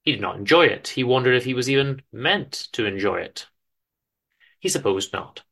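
A man reads a story aloud as its narrator. His voice is bright and forward, of middling pitch. The delivery is dry and measured, with formal phrasing. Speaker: author-narrator reading his own story on a podcast. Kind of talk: dramatic scripted story reading.

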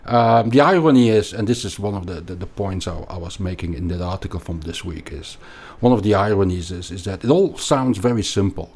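Finance guest: middle-aged Belgian man, accented, very deep voice, halting delivery. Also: darkish tone, husky. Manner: hesitant, many repeated words and restarts. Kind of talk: conversational interview.

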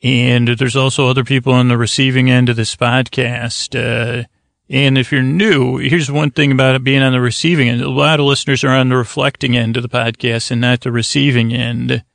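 Creaky, rambling man hosting a sleep podcast. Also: mid-range voice, flat delivery, moderate pace, a bit bright, no echo, studio quality. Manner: meandering, hesitant, trailing off mid-thought. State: tired. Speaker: podcast host. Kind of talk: rambling bedtime talk.

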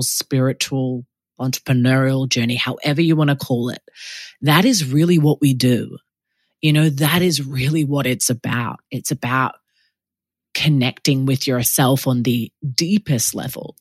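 A woman's voice: a bass voice, dry recording. A woman hosting a podcast, talking in a casual, enthusiastic and gushing way from her apartment. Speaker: podcast host.

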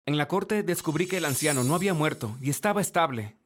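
A man's voice: on the high side, animated, fast talker, fairly bright tone. A man reading a scripted first-person story as narrator.

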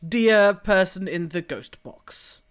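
A woman's voice: medium pitch, expressive, slow pace, clear, resonant tone. A woman improvising role-play as a player in a tabletop game.

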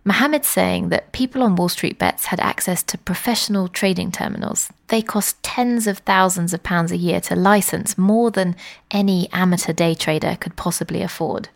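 A woman's voice: on the low side, normal speed, creaky, dry recording. A woman hosting a journalistic podcast, reading conversational scripted narration.

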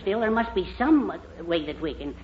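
A woman's voice: mid-range voice, lively intonation, moderate pace, natural voice. A performer playing a fussy, soothing, fatherly pal in a 1940s radio comedy. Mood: placating. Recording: muffled, noisy 1940s radio recording.

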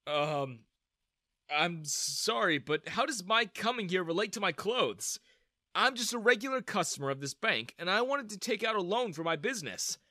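A man's voice: high-pitched, lively intonation, normal speed, pushed and bright, no room sound, studio quality, voice pushed up. A man voicing a determined, indignant eighteen-year-old in a narrated story. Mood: earnest. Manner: earnest, defiant.

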